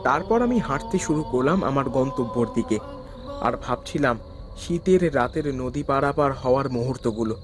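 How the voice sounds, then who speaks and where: A man's voice: mid-range voice, a little fast, over music. A young man narrating a cartoon ghost story in the first person. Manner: terrified pleading in the scene, calm when narrating.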